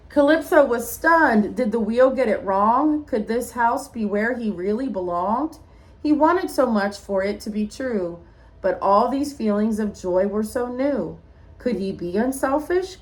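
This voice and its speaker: A woman reading a rhyming picture book aloud like a teacher, expressively, with small asides to young listeners. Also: on the high side. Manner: expressive storytelling, addressing children.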